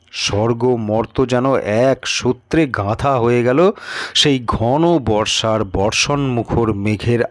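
A man narrating a scripted story in a measured, expressive storytelling manner. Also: mid-range voice, no echo, clean studio sound. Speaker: audiobook narrator.

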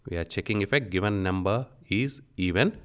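A man with a low, very clear and smooth voice teaches programming. He speaks in short instructional phrases, dictating each step of a software tutorial as he goes. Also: fairly quick.

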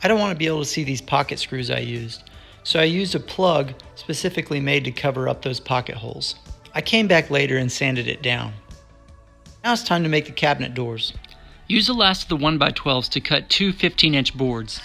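A man giving scripted step-by-step voice-over narration for a DIY woodworking build, in a plain, measured instructional tone. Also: mid-range voice, expressive, vocal fry, bright, forceful tone, soft background music.

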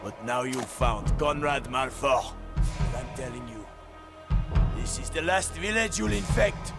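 A gravelly, hostile village brute. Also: male voice, mid-range voice, a little slow. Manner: angry accusing shouts. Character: brutish bald village man, hostile and accusing. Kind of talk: video game cutscene acting. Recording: game audio over loud music.

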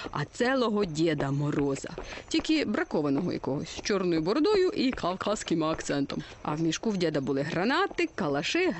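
A man's voice: high voice, slight background noise. A man narrating a satirical cartoon tale in a colloquial, ironic, mock fairy-tale manner. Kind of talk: scripted satirical storytelling for a cartoon. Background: music.

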